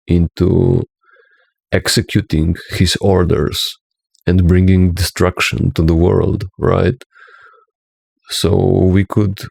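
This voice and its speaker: Slow, gravelly low-voiced Slovenian man. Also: a little mellow, dry recording. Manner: preachy, often adding a questioning "right?". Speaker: spiritual teacher. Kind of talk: earnest monologue to camera.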